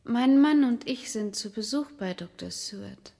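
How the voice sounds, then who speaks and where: A woman playing a polite, brave young married gentlewoman in a German radio drama, composed in manner, in a reverberant space. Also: on the high side, animated, unhurried, gravelly. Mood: polite, careful. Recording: long reverberant room sound.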